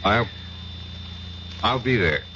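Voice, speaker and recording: Uneasy professor, animated low-pitched delivery. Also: male voice, slow pace, heavily acted. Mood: groggy, startled. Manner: hesitant, agitated, dramatic. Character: uneasy, fearful male college professor recounting his ordeal. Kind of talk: old-time radio drama acting. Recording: muffled, hissy old radio recording.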